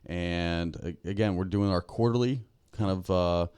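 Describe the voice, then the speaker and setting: A man's voice: low voice, a little slow, darkish tone, clean tone. A man hosting a podcast, chatting casually with his guest and using many fillers and backchannel replies. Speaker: podcast host. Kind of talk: casual interview chat.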